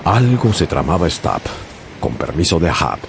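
A man narrating a radio adaptation of a sea story in a measured storytelling voice. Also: sits low, big pitch swings, gravelly, background noise. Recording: music bed under the voice.

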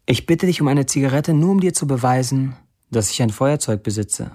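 An actor playing a defiant, self-mocking young Syrian man in a declamatory, deliberate audio drama monologue. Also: medium pitch, lively intonation, mellow, natural voice. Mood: dry, provocative. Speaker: actor performing an author's social media posts.

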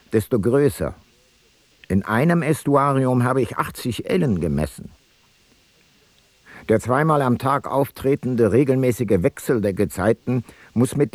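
An actor playing an ancient Greek explorer-scholar, reading his logbook in a measured, factual radio-play narration. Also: mid-range voice, a little mellow, dry recording, close to an everyday voice.

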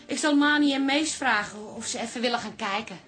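Een actrice die in een hoorspel een nuchtere, zuinige kroegbazin speelt, droog en nadrukkelijk van toon. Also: female voice, on the high side, brisk, natural voice. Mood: sceptical. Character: down-to-earth, thrifty pub landlady, wry and expressive. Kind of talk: Dutch radio play acting.

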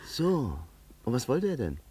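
A plain-spoken, wry friend in a radio play. His mid-pitched voice moves in lively swings, colloquial and blunt yet reassuring, in a dry recording. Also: unhurried, slightly rough, dry recording, full character voice. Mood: puzzled, brisk. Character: down-to-earth, fatherly-familiar male friend, blunt and reassuring. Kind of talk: radio drama acting.

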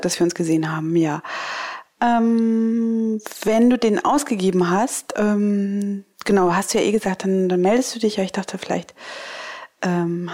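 A woman's voice: mid-range voice, darkish tone. A woman talking casually and spontaneously, hesitating and searching for words. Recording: clear background noise, field recording.

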